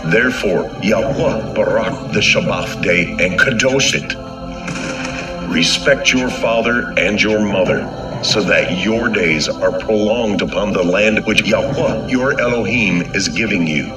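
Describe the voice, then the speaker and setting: A man's voice: fairly low pitch, measured pace, lightly acted. A man playing a stern, solemn God giving commands in a dramatized scripture recording, with a declamatory delivery. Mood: solemn commanding. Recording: echoey reverb with music behind.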